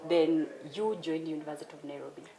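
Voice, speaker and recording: A woman hosts a health show, asking her guest questions. Her voice sits a little low, and she sounds friendly and inviting. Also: fast, a crowd in the background. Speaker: host. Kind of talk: presenting and interviewing.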